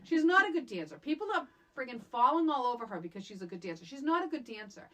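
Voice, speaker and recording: A woman with a fairly high voice that swings widely in pitch, talking casually about a film as a podcast co-host. She is emphatic and exasperated, hammering her points by saying them again. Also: big pitch swings, fast talker, pushed and bright.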